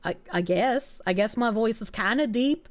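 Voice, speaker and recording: A woman's voice: a little high, normal speed. A woman with a Boston accent streaming and chatting casually with her viewers, swearing freely and laughing a lot, while she is getting over a cold. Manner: laughs often, swears freely, rambles in tangents. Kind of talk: casual chat, reading and answering viewer chat.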